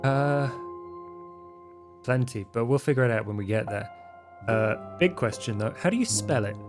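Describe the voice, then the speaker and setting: A man's voice: medium pitch, moderate pace, music in the background, natural voice. A man playing an informal, flippant young vampire in a tabletop roleplaying game. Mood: agreeable, unsure. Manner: casual, flippant.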